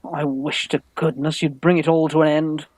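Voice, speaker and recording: Farce role: man playing an anxious British young gent, earnest, fretful, slightly high voice, dry sound. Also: level tone, normal speed, a little mellow, no echo, close to an everyday voice. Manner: worried, earnest. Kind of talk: Victorian stage farce, radio play acting.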